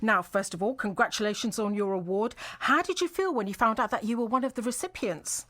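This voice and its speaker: A woman's voice: on the high side, a little fast, a bit bright. A woman presenting and interviewing a guest on live radio.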